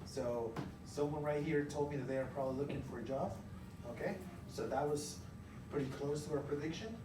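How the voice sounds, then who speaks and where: A man teaching a class through an interactive read-aloud, pausing to question and explain as he goes. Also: a little high. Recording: echoey room, far from mic, loud noise.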